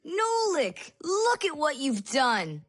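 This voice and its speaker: A woman's voice: high-pitched, big pitch swings, takes it slowly, fairly bright tone, exaggerated voice. A woman voicing an eager, easily exasperated young boy in a children's cartoon dub. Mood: annoyed, exasperated.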